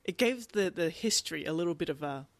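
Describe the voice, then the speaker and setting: A woman's voice: lowish voice, expressive, quick pace, no room sound. Casual woman podcaster, close dry sound. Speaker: podcast co-host. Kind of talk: casual book discussion.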